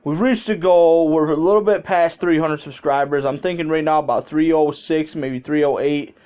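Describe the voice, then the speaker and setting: An American man with a slightly high voice speaks as a casual storytime vlogger. He rambles conversationally and swears freely. He is recorded on a phone held in his hand. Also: normal speed, a little mellow, smooth. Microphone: handheld phone.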